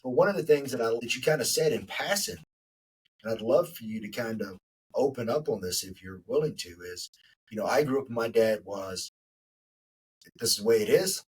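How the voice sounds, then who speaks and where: Man, forties, podcast host, husky, a little off-mic, rambling and reflective. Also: medium pitch, distant mic, poor audio. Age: 40s. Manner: reflective, rambling with many fillers. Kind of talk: casual interview conversation.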